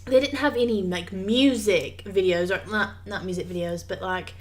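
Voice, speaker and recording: A woman's voice: mid-range voice, normal speed. A woman from the American South with a light Southern accent, co-hosting a podcast in casual chat with teasing, dry jokes. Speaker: podcast co-host.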